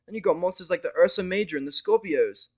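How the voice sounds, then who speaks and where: A man voicing a laid-back, caring young female pony with slangy, animated delivery in a fan fiction audio story. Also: sits high, fast, vocal fry, voice pushed up. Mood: explaining.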